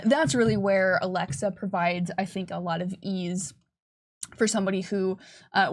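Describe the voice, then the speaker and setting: A woman's voice: mid-range voice, creaky. A woman chatting casually as a game developer on a livestream, explaining things with enthusiasm. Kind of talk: casual chat.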